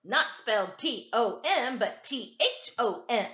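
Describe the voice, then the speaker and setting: Gawky storybook schoolboy, played by a woman, high and bright, eager and loud, in an echoey room. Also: lively intonation, unhurried. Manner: loud, forward, exclaiming. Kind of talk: children's storybook read-aloud. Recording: echoey, slightly dull home recording.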